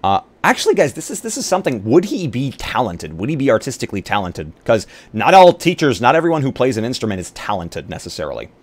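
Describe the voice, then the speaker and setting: A man's voice: mid-range voice, big pitch swings, fast talker, few pauses. A man chatting casually on a live roleplaying stream as he talks through building a game character.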